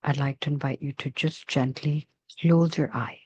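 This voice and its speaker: A woman leading a guided meditation in a soft, soothing, measured way with long pauses between phrases. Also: low voice, steady tone, slightly rough, no room sound, highs cut off.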